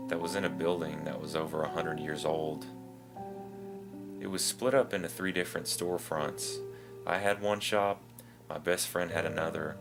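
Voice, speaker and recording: A man's voice: a bass voice, gravelly. A man reads scripted first-person creepy stories as a narrator. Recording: music behind the voice.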